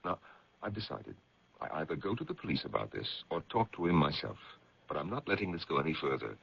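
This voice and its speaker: A man acting in an old-time radio drama as an earnest, protective, excitable magazine editor, theatrical and swinging from hushed to emphatic. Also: very deep voice, a little fast, gravelly, slightly rough, heavily acted. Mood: anguished, indignant. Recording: dull lo-fi radio drama recording.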